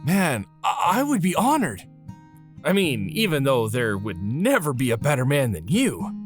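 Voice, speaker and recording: A man's voice: medium pitch, huge pitch swings, a little slow, over music, pitched above the performer's own voice. A man voicing a good-natured, loyal young best buddy in an audio drama, chummy and informal. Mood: touched.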